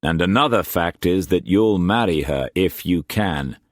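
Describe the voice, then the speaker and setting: A man voicing a shrewd, fatherly older Scottish trader who speaks in a measured, gruff way. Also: deep voice, expressive, no room sound, clean studio sound. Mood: stern. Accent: Scottish put-on.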